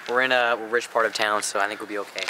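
A man rambling casually to the camera about his model planes at an outdoor field at dawn. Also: on the low side. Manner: quick, flat, matter-of-fact rambling. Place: outdoor grass airfield at dawn. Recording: outdoor camera audio with noticeable background noise.

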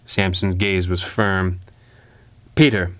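A man's voice: lowish voice, fairly even intonation, normal speed, fairly bright tone, clear, resonant tone, no echo. A man narrating a scripted pulp crime story as an audiobook reader.

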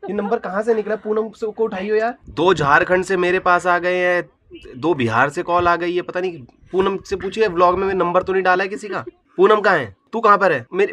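A man's voice: sits high, sharp tone. A man in his twenties chatting casually, as if on a phone call. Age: 20s. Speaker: vlogger pulling a prank. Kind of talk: casual chat and phone talk. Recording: faint noise behind voice.

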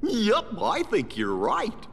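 A man's voice: huge pitch swings, takes it slowly, vocal fry, a bit bright, slightly rough, heavily acted. A man voicing a goofy, good-natured cartoon dog sidekick in a video game, with a gulping voice. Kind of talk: cartoon-style game acting. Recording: reverberant hall, faint background rumble.

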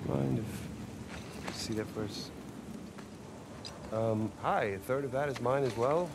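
Gravelly, wisecracking American treasure hunter. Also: male voice, medium pitch, takes it slowly, background noise. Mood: wry, annoyed. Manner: sardonic, griping. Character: wisecracking, exasperated American male treasure hunter, middle-aged. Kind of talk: video game cutscene acting. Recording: game audio with music underneath.

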